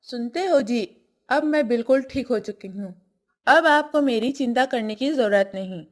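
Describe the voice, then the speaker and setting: A dutiful, honest village wife played by a woman in a cartoon tale. Her voice is fairly high and she speaks earnestly and respectfully. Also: animated, moderate pace, lightly acted.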